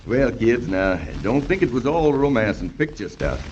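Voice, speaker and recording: A man's voice: mid-range voice, sing-song, moderate pace, darkish tone, soft background music, natural voice. A man playing a hearty, modest middle-aged American bridge engineer in an old children's radio serial, folksy and fatherly with hesitant turns. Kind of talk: 1930s-40s children's radio serial acting. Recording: muffled old radio broadcast with hiss.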